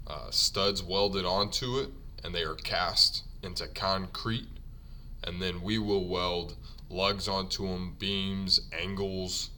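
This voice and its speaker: A man who is a native English speaker teaches ironworker trade vocabulary from slides, saying each term several times slowly for learners before explaining it. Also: low-pitched, sharp tone, a little hiss or hum. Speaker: ironworker union instructor. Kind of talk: slide-based vocabulary lesson.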